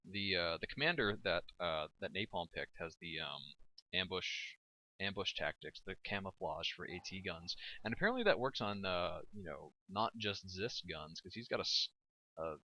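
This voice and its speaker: A man casting a strategy game replay in a chatty, halting way, laughing often, through a home microphone. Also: deep voice, pushed and bright. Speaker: game caster. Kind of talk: casual strategy game commentary.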